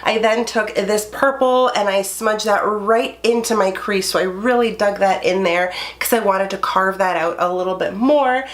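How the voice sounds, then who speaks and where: Girl doing a makeup review in her room, stuffy nasal voice from a cold, super chatty and excited. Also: mid-range voice, normal speed, hardly any pauses. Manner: enthusiastic, chatty. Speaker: beauty YouTuber. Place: her bedroom under filming lights.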